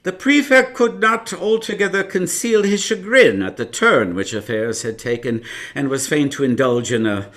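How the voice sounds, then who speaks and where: A man's voice: fairly high pitch, moderate pace, few pauses, fairly bright tone, close to an everyday voice. An actor playing a genial older man reminiscing as narrator in a radio drama, with chatty, hesitant asides. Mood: wry, fond. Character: older male narrator reminiscing about his past adventures, genial, wistful. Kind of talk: live radio drama storytelling.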